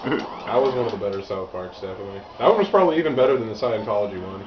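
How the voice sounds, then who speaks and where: A man's voice: fairly low pitch, animated, rapid-fire, far from the mic, a crowd in the background. A man guesting on a gaming podcast, cutting in with crude wisecracks. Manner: wisecracking, interjects jokes. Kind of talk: crude casual chat. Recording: dull lo-fi audio with game music.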